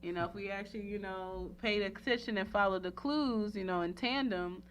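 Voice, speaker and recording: A woman hosting a casual talk show interview in a playful, animated way, with lots of slang and filler phrases like "you know". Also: medium pitch, brisk, slight background noise, poor audio. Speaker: radio talk show host.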